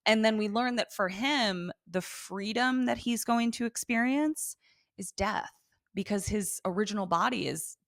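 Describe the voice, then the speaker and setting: A woman's voice: mid-range voice, a little slow, creaky, no room sound, clean studio sound. A woman co-hosting a podcast, chatting casually through a TV recap, irreverent and free with swearing. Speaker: podcast co-host. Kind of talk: casual TV episode recap chat.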